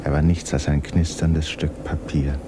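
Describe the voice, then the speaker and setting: An actor as the grave, sympathetic narrator of a literary radio play, speaking in a measured way. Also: male voice, extremely low pitch, level tone, noisy background. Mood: measured, melancholy.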